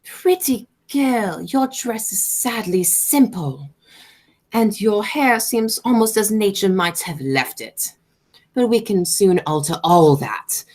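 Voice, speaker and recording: A woman's voice: medium pitch, lively intonation, slow, darkish tone. A woman playing an imperious, snobbish English aunt in a radio comedy, pompous and declamatory, stumbling now and then over the words. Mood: appraising, condescending. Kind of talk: stage comedy as amateur radio play.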